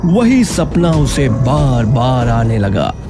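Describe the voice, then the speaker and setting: A man's voice: medium pitch, big pitch swings, takes it slowly, background noise. A man narrating a children's story in a dramatic, scripted storytelling style. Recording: music playing behind the voice. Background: clear background music.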